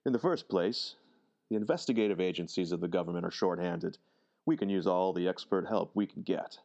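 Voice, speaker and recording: An actor playing a distinguished, formal senior government official in a deliberate old-time radio drama style. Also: male voice, low-pitched, gravelly, a little mellow, dull audio. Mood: businesslike.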